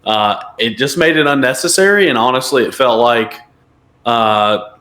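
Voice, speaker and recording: A man's voice: mid-range voice, animated, moderate pace, bright, forceful tone. A man co-hosting a casual podcast, giving emphatic, opinionated takes. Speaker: podcast co-host. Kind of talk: casual wrestling podcast chat. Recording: roomy echo.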